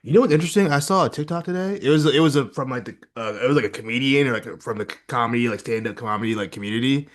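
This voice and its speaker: A man's voice: mid-range voice, racing, hardly any pauses. A man co-hosting a wrestling podcast in casual chat, rambling and hesitant, full of fillers. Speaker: podcast co-host.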